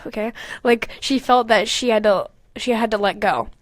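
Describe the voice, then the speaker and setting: A woman's voice: a little high, moderate pace, no echo. A young woman podcast host casually reading aloud with comedic commentary, swearing freely and mocking her own material in exasperated asides.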